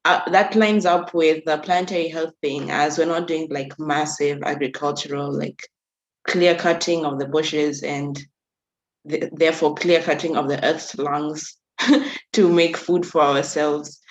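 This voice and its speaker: A woman's voice: low-pitched, fairly even intonation, unhurried. A woman from Kenya, a youth workshop facilitator, speaking on a panel discussion, laughing often and restarting her sentences.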